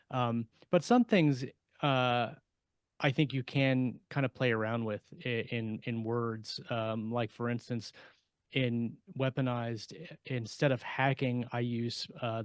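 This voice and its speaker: Dry-sounding middle-aged man, halting explainer. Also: medium pitch, steady tone, clean tone, dry recording. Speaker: podcast co-host and science fiction author. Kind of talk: casual panel discussion. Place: small home room lined with shelves. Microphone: close desk microphone with headset, on a video call.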